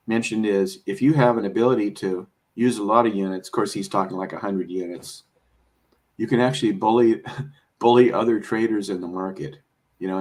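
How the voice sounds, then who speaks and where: A man talking casually about trading, rambling and explaining with many fillers and restarts, a bit hoarse as he is losing his voice. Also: fairly low pitch, a little mellow. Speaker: trader. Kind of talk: casual conversation.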